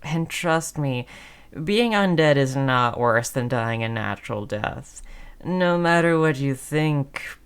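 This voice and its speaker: Audio roleplay zombie, played by a voice performer: affable, wheedling undead pal, slangy sales patter, mid-pitched, slow delivery. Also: animated, clean tone. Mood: chatty, reminiscing. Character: friendly, wheedling, chatty undead zombie coaxing a survivor. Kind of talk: scripted audio roleplay monologue.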